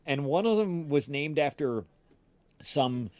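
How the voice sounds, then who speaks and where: A man chatting casually on a livestream, telling anecdotes in a halting, searching way with false starts. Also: on the high side, lively intonation, a little mellow, no echo. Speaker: podcast cast member on a livestream. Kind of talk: casual chat, rambling anecdote.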